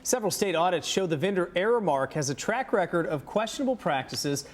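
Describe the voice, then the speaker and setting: A man's voice: sits high, expressive, brisk. A man presenting morning TV news as an anchor, in a chatty style.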